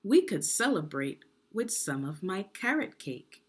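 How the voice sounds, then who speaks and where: A woman's voice: fairly low pitch, big pitch swings, measured pace, slightly rough, full character voice. A woman voicing a kindly, helpful female village cook in a children's storybook. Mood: pleased.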